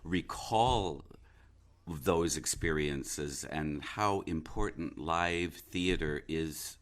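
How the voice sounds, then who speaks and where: A man who is an actor, chatting reflectively in a halting way, repeating words and restarting sentences. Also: extremely low pitch. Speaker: stage and radio actor. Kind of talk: casual reflective conversation.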